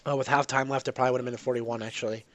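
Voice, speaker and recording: A man's voice: medium pitch, little pitch movement, racing, a bit bright. A man with a congested voice from a cold, casually commenting on his own gameplay with lots of ums and restarts. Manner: rambling, self-correcting, frequent ums.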